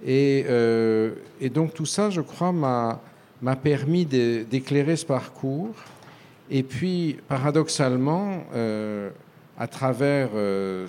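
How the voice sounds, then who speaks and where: An older man from France, a writer answering interview questions in a halting, reflective way with frequent hesitations. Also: mid-range voice, a little mellow. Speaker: author guest. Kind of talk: radio interview.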